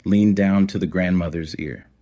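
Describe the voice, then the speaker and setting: A man with a deep voice narrates an audio story. He reads prepared prose as the storyteller between lines of dialogue. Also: fairly even intonation, moderate pace, warm tone.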